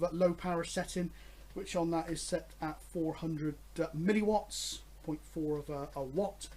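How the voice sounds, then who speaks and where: A man in his fifties talking casually and enthusiastically as a hobby vlogger, rambling along with frequent hesitations. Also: fairly high pitch. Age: 50s. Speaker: host of a radio hobby vlog. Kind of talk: casual, rambling chat to camera.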